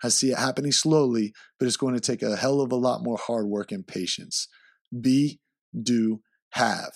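A man hosting his podcast, giving upbeat, hyped shout-outs to listeners. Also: mid-range voice, fairly even intonation, moderate pace, dry recording, clean studio sound. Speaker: podcast host. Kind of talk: solo podcast intro.

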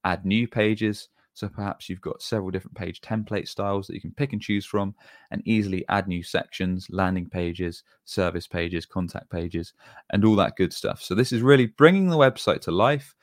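Male tutorial presenter, lower register, informal explainer, very dry recording. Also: normal speed, no room sound. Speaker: web design agency presenter.

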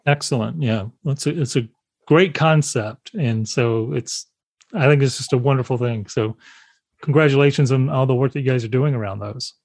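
A woman's voice: extremely low pitch, moderate pace, a little mellow, dry recording. A woman hosting an interview, encouraging her guest and asking personal questions. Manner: encouraging, affirming. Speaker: interview host. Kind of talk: casual interview.

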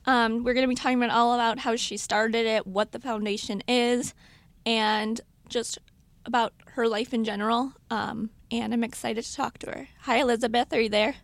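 Woman host, friendly and chatty, casual interviewer; high-ish voice, dry close sound. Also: fairly even intonation, normal speed, dry recording. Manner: friendly, chatty, filler-heavy questions. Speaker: podcast host.

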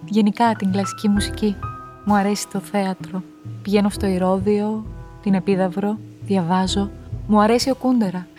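A woman's voice: mid-range voice, steady tone, warm tone, soft background music, close to an everyday voice. An actress playing a cultured, reserved woman, speaking in a composed, earnest way in radio play dialogue.

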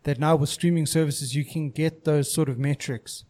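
Measured man with a slightly higher voice. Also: steady tone, darkish tone. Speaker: podcast guest musician. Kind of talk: casual chat.

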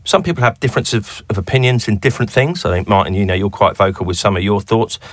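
Low-voiced guy on a podcast, stammers and restarts a lot, dry sound. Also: fast talker, dry recording. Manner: hesitant, many repeated words. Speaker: guest, bank sales director. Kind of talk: podcast interview.

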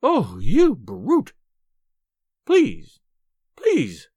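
A man's voice: extremely high pitch, wildly swooping pitch, takes it slowly, no echo, muffled recording, pitched above the performer's own voice, full character voice. A man voicing a small, furious, indignant wife in an audiobook, screaming and wailing through her scolding. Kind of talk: audiobook character acting.

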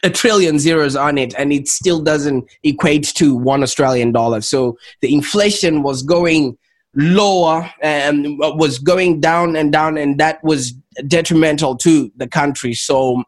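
Male interview guest, Zimbabwean accent. Higher-than-average pitch, conversational personal storytelling. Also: unhurried. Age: adult, 28 or older. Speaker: interview guest, entrepreneur and coach.

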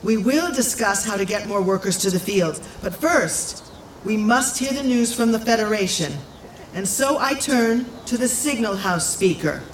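A woman's voice: mid-range voice, expressive, close to an everyday voice. A stately older village matriarch acted by a woman addresses people in a formal, firm manner. It is audio drama with an echoey sound and faint background noise. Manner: formal, measured public address. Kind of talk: scripted audio drama acting.

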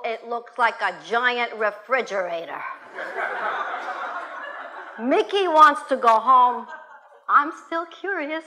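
Lively, bright-voiced woman telling a story. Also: on the high side. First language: Russian. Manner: animated, blunt, swears freely. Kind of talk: first-person storytelling to an audience.

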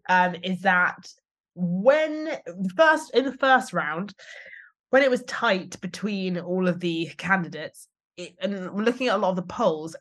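A woman hosting a podcast interview, chatty and quick to laugh, asking long winding questions. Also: medium pitch, fairly bright tone. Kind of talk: casual interview conversation.

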